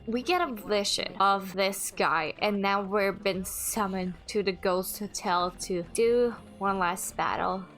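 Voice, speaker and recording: A woman's voice: mid-range voice, a bit bright. A young woman from Norway, a native Norwegian speaker with a Norwegian accent, giving chatty, candid commentary on her gameplay. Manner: chatty, candid, laughs easily. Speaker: gaming channel host.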